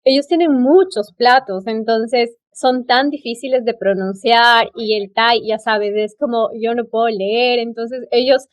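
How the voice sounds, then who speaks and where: A woman's voice: on the high side, a little slow, hardly any pauses. A woman with an Ecuadorian Spanish accent hosting a casual travel conversation, effusive and given to emphatic repetition. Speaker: podcast host.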